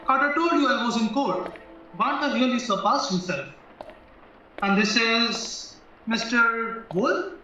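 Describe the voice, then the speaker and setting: A man acting a courteous, brisk figure from a law chambers in a radio play, speaking formally. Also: extremely high pitch, pushed and bright, exaggerated voice. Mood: genial. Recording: roomy, distant, muffled, music underneath.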